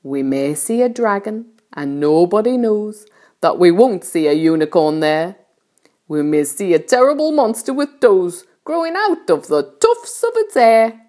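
A woman's voice: mid-range voice, sing-song, a little slow, warm tone, voice pushed up. A woman voicing a boisterous, gleeful male centipede in a storybook, exuberantly declaiming in rhyming verse. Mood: gleeful, theatrical.

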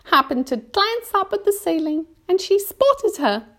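A woman voicing an anxious, melodramatic female spider from a storybook, mournful and drawn-out in her delivery. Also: very high voice, sing-song, a bit bright, pitched above the performer's own voice, heavily acted. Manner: dramatic, drawn-out, lamenting delivery.

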